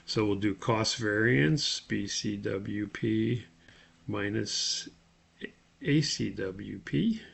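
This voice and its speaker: A man giving a methodical, step-by-step spoken tutorial as an instructor, with a creaky voice. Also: fairly low pitch, lively intonation, drawn-out words, a bit bright. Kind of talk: spoken screen-share tutorial.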